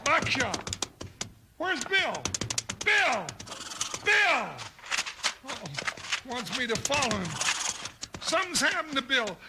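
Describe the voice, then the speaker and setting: An actor playing a folksy, anxious, bumbling cowboy sidekick in a 1950s radio western, drawling and exclaiming. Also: male voice, very high voice, big pitch swings, slow, pauses often, full character voice. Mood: urgent alarm. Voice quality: creaky, gravelly. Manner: drawling, muttering to himself, exclaiming. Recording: noisy 1950s radio broadcast.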